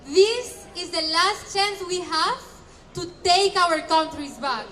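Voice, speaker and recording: Slow, emphatic woman speaking at a rally. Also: extremely high pitch, expressive, bright, forceful tone. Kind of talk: prepared rally speech. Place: outdoor street rally. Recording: live outdoor rally with crowd. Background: crowd noise.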